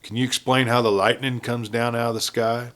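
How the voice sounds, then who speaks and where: A man's voice: medium pitch, flat delivery, brisk, fairly bright tone, close to an everyday voice. A man voicing a wary, principled outlaw lieutenant in a Western story, with guarded, earnest cowboy speech. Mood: firm.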